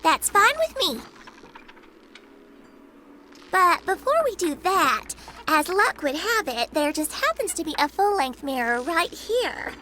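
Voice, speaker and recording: A woman's voice: very high voice, sing-song, measured pace, sharp tone, slight background noise, heavily acted. An actress voicing a bratty, boastful little girl in a game cutscene, bossy and teasing. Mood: scheming. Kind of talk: video game cutscene dubbing.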